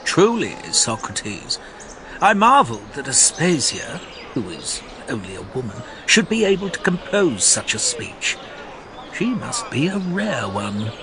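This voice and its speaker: A man's voice: mid-range voice, very animated, measured pace. A man voicing an eager young male student of a philosopher in a dramatized audiobook, speaking with wondering admiration. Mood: marvelling. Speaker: Menexenus. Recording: audiobook with ambient noise behind.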